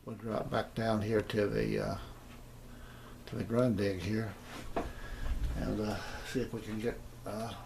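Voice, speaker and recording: A man casually demonstrating shortwave radios in an unscripted, halting way, thinking aloud as he goes. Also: on the low side, little pitch movement, gravelly. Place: indoors beside shortwave radios. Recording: noisy room with radio static. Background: radio broadcasts and static.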